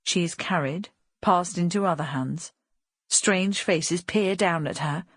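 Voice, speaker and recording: A woman's voice: fairly low pitch, slow, husky, no room sound. A woman reading a dramatic novel as scripted audiobook narration.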